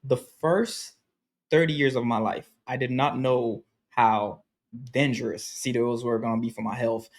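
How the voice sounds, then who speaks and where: A man's voice: medium pitch, fairly even intonation, unhurried. A man in his thirties hosting a podcast, chatting casually and laughing easily. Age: 30s. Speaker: podcast host.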